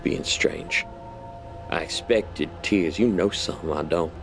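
A man's voice: deep voice, unhurried, soft background music. An actor playing a menacing, curt male army general in an audio drama. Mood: suspicious. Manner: curt, threatening.

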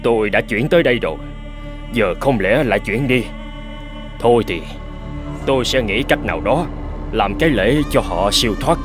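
A man voicing a resigned, well-meaning older householder in an animated drama. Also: medium pitch, moderate pace, long pauses, music in the background, lightly acted.

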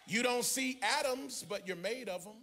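A middle-aged American man preaching an animated, emphatic sermon as a pastor, theatrical, into a handheld microphone in a large church auditorium. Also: very high voice, normal speed, a little hiss or hum. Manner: emphatic, theatrical, often shouting and half-singing.

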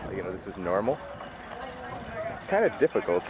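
A man's voice: sits low, big pitch swings, brisk. A man with a Canadian accent chatting casually and slangily outdoors as he films on a handheld camera. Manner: laid-back, slangy, often ends with "eh". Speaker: amateur vlogger behind the camera. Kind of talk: casual chat. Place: outdoors in a parking lot. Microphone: handheld camera microphone. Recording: muffled camcorder sound, loud outdoor vehicle noise.

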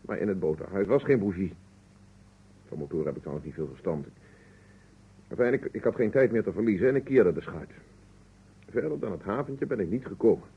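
A defensive, sardonic suspect in an old radio play. His voice is low and very husky, with articulate, dry delivery. The recording is muffled and narrow like an old broadcast. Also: lively intonation, a little fast, pauses often, heavily acted. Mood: dryly defensive. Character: defensive, indignant man being questioned, candid and sardonic. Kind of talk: vintage Dutch radio drama acting.

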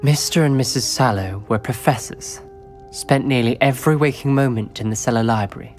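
A man's voice: medium pitch, a little fast, faint music behind, close to an everyday voice. A young man with a British accent playing an anxious, principled teenage wizard in a game cutscene, grave, precise and reproachful. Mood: grave, worried. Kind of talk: video game cutscene acting.

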